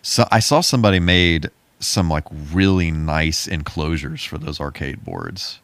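A man's voice: rumbling low, lively intonation, unhurried, a bit bright, no echo. A man co-hosting a podcast in casual, informal conversation. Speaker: podcast co-host. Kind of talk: casual chat.

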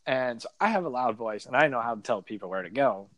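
A man's voice: mid-range voice, animated, fast, a bit bright, no room sound, low-quality recording. Chatty young man telling a story. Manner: chatty, peppered with "like", acts out quotes. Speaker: podcast guest, reality game contestant. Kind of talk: casual storytelling.

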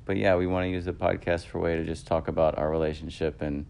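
Man in his thirties, very deep voice. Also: flat delivery, fast, vocal fry, a little mellow, clean tone. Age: 30s. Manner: rambling, self-correcting, many fillers. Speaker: podcast co-host. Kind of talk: casual couple chat.

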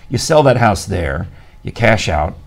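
A man's voice: on the low side, very animated, measured pace. A man hosting a morning talk show, joking and laughing often as he rambles through asides. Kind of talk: casual studio banter.

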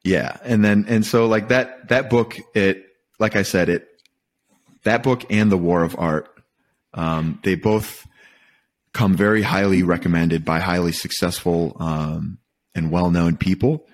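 A man from Michigan with a very deep voice speaks as an interview guest. He talks casually and thoughtfully, often stopping to rephrase a point as he works through it. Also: steady tone. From Michigan, United States. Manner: thoughtful, halting, rephrases as he goes. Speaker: podcast guest. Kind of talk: casual reflective interview talk.

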